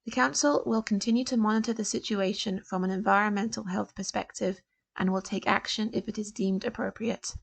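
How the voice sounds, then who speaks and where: Woman, creaky edge, deliberate formal delivery, newspaper reading. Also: mid-range voice, fairly even intonation. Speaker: volunteer newspaper reader. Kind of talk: scripted news reading for a talking newspaper.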